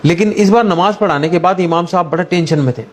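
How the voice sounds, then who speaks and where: A man's voice: high voice, fast, slight background noise. A man telling a religious moral story in an informal, conversational way, mixing in everyday English words. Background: background music.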